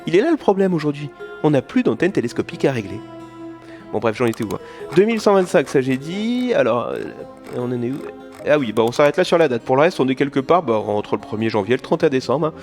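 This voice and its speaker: A man's voice: medium pitch, sing-song, fast, darkish tone, soft background music. A man playing a rambling, grumbling comic narrator of an audio drama, chatty and mock-nostalgic. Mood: mock indignant.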